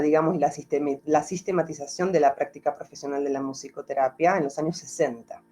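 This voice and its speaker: A woman with an Argentine Spanish accent, gently worn down by life in Mexico, speaks as a music therapist in an interview. She answers in a reflective way, pausing to find her words and closing her thoughts with little questioning tags. She is talking over a video call. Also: low voice. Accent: Argentine Spanish, softened toward Mexican. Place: home in Mexico.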